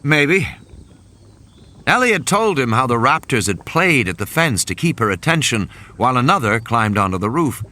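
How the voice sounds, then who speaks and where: Male audiobook narrator with lively intonation. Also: medium pitch, fairly quick, hardly any pauses. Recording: sound effects and vehicle ambience behind. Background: vehicle and ambient sound effects.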